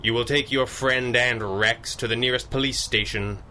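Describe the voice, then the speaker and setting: An actor playing a stern masked vigilante in radio adventure style, speaking in formal, commanding phrases. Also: male voice, mid-range voice, fairly even intonation, moderate pace, sharp tone. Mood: stern, menacing. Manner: commanding, formal, clipped interrogation.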